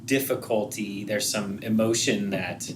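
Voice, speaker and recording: American man, thirties, podcast host, lowish pitch, casual interviewing, clear background noise. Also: steady tone, a little slow.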